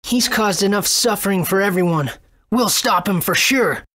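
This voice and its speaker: A woman playing a brave, determined young boy hero in a video game, with a husky voice. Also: medium pitch, moderate pace, fairly bright tone, close to an everyday voice.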